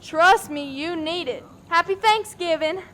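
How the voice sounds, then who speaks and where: A woman's voice: very high voice, measured pace, a bit bright, slight background noise. A woman playing a whiny, flippant young waitress in a comic radio play. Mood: breezy.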